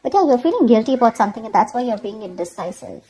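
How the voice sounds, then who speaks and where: A woman's voice: a little high, very animated, very fast, warm tone, breathy edge, poor audio. A woman giving an improvised tarot reading, speaking conversationally to the viewer and often checking in with little tag questions. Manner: conversational, often checking in with okay and you know. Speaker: tarot reader and channel host.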